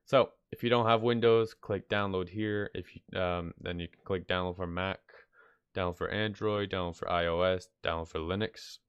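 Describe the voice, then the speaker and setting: A man hosts a casual how-to video in a deep, clean voice, slightly nasal with a head cold. He rambles and hesitates in an offhand way. The sound is dry and close on the microphone. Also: steady tone, moderate pace, clear, resonant tone, no echo, highs cut off. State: sick with stuffy nose. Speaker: video tutorial host. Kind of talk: casual unscripted software tutorial. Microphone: close mic, screen-recording voice-over.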